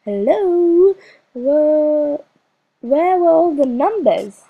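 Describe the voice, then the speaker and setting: A woman narrating a children's storybook in a slow, expressive reading with lively emphasis. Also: high-pitched, vocal fry, phone-quality sound. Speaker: audiobook narrator.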